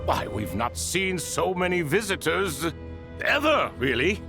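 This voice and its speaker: Ancient imprisoned lord for a video game: highish, gravelly, courtly, theatrical, wry, music behind. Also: male voice, very animated, a little slow, full character voice. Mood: amused, curious. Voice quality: creaky. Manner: theatrical, old-fashioned politeness. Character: ancient imprisoned spirit lord, chatty, theatrical, wry, dusty old fellow. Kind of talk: video game character acting.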